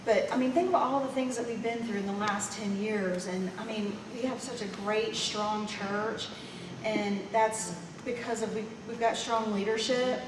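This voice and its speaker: A woman's voice: on the high side, fairly even intonation, noisy background. Distant woman in an echoey church hall. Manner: halting, with many "um"s and "you know"s. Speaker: church member giving a tribute. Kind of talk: emotional, halting tribute speech. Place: large church fellowship hall.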